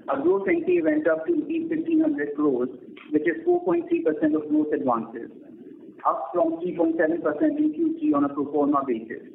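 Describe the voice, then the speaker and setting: Over an echoey, phone-like connection, a man with an Indian accent delivers a scripted financial presentation. His voice is very creaky, and he reads as a company executive would. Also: very high-pitched. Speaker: bank executive giving earnings remarks. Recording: narrow tinny phone line, echo, faint noise.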